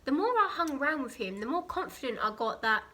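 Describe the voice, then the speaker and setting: High, bright British young woman. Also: fast talker. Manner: giggly, animated storytelling with acted quotes. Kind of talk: casual chat, telling an anecdote.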